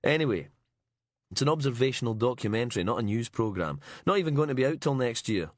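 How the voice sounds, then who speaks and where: Man voicing a brash, pushy reporter, lively and raised, dry close sound. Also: medium pitch, quick pace, dry recording, lightly acted. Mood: wheedling. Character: cocky freelance male reporter, vain and pushy.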